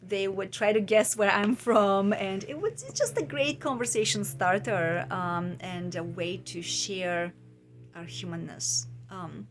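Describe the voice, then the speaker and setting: A woman's voice: mid-range voice, unhurried. A woman talks casually and reflectively to viewers, musing and often searching for her words. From Bulgaria. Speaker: vlogger and podcast host; trauma practitioner.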